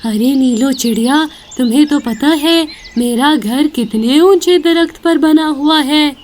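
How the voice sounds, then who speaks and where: A helpful male cartoon pigeon, voiced by a woman, explains things reassuringly in a high voice. There is faint noise behind.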